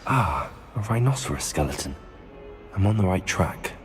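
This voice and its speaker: A man's voice: sits low, sing-song, moderate pace, faint music behind. A man playing a polite, confident teenage wizard student in a video game, with a British accent and formal wording. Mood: curious, polite. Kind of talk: video game cutscene acting.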